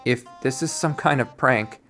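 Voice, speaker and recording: A man's voice: medium pitch, moderate pace, a bit bright, faint music behind. A man reading a visual novel aloud as dramatic first-person story narration.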